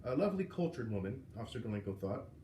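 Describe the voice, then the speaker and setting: A man's voice: on the low side, big pitch swings, quick pace, warm tone. A man narrating a children's novel aloud. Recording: roomy, echoing, noisy home recording.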